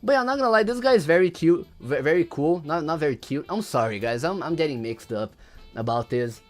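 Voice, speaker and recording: Young male streamer, Portuguese first language, slightly high voice, rambling casual talk, faint music. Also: lively intonation, fairly quick. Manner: rambling, repeats words, reads chat aloud. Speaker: livestreamer. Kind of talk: casual livestream commentary.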